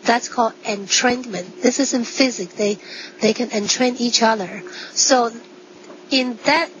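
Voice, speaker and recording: Higher-pitched woman doctor explaining, slightly dull sound. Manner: simple non-native phrasing, short halting phrases. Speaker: physician, interview guest. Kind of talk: conversational explaining with simple examples. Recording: dull sound, faint background noise.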